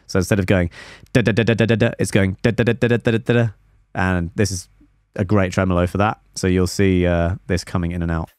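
Young man, producer, deep voice, relaxed explaining, scats melodies, small home studio, dry close studio mic. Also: clean tone, dry recording. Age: young adult. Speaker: music producer. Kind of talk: casual explanatory stream breakdown. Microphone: studio mic on boom arm.

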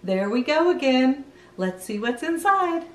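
A woman's voice: high-pitched, big pitch swings, a little slow, roomy sound, recorded from a distance, noisy background. A middle-aged woman hosting a children's read-aloud show, playful and exclamatory, often putting questions to the young viewers.

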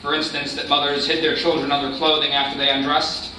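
Male actor as accusatory interrogator, slightly high sharp voice, stern, very roomy sound. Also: flat delivery, brisk. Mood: probing. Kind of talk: staged reading. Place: meeting room, staged reading at a table. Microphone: far camera microphone. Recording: very echoey room, far microphone, hiss.

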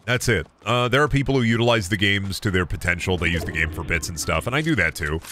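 Low-voiced sick man streaming a game. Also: animated, fast, bright, forceful tone, a little hiss or hum. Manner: rambling, self-deprecating, swears at the game. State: sick, voice close to cracking. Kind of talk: casual gameplay stream chat. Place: home room at a desk. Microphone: headset.

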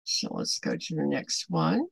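A woman in her seventies teaching a Bible devotional to camera at home, earnest and deliberate, putting emphatic questions to the listener. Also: sits low, wildly swooping pitch, gravelly, slightly rough, dry recording. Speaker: online Bible study host. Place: small home room, indoors.

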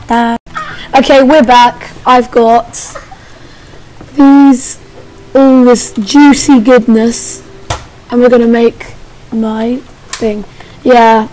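A young woman giving casual, excitable commentary while playing a game, squealing and making playful sing-song noises. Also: on the high side, a little hiss or hum, poor audio. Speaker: gamer. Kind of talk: casual let's play commentary.

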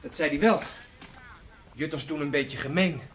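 A man's voice: fairly high pitch, normal speed, a bit bright, close to an everyday voice. A man acting an earnest, stubborn young beachcomber's son in a noisy 1960s radio play.

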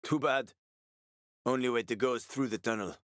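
A man's voice: medium pitch, normal speed, a bit bright, dry recording, studio quality, lightly acted. A male actor voicing a wry, streetwise survivor guide and ex-soldier in a video game, with colloquial, foul-mouthed talk. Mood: sly, confiding. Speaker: video game companion character.

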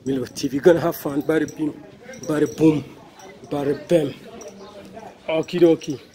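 A man's voice: fairly high pitch, steady tone, moderate pace, mellow. A man with a Ghanaian accent chats casually and jokily to his viewers, talking into a handheld phone as he walks outside. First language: Twi. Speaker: vlogger, channel host. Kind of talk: casual walking vlog to camera. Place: outdoors, walking around a housing complex. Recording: noisy outdoor phone recording.

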